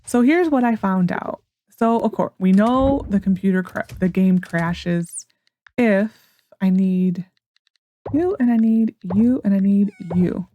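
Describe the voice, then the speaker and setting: A young woman with a hoarse, sore voice, rambling through casual gameplay commentary with frustrated sarcasm. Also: medium pitch, slow pace, dark tone, highs cut off. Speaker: gaming YouTuber.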